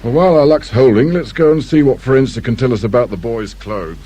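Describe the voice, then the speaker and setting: An actor playing a gruff, world-weary older police inspector with a British accent, speaking drily and sardonically in radio drama style. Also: male voice, medium pitch, fairly quick, warm tone, close to an everyday voice. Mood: sardonic, brisk. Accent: British English. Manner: dry, grumbling, clipped questioning. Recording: hissy old radio drama recording.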